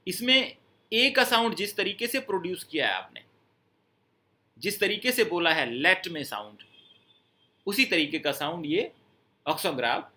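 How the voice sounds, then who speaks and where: A man teaching in a slow, unhurried manner, pausing often to let points sink in. His voice is bright and a little high for a man. He explains patiently, sliding between Hindi and English phrases. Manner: slow, patient explaining with drawn-out pauses and fillers. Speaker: French teacher. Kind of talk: online language lesson.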